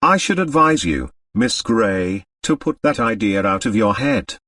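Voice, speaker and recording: A man voicing a shrewd, kindly elderly lawyer who gives advice in a measured, formal, fatherly manner. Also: on the low side, sing-song, pushed and bright, no room sound, studio quality, recorded from a distance, exaggerated voice. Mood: advising.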